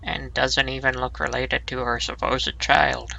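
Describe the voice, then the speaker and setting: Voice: mid-range voice, flat delivery, a little slow, gravelly, pushed and bright, slight background noise, low-quality recording. A let's play co-commentator making casual, wry remarks over a video game.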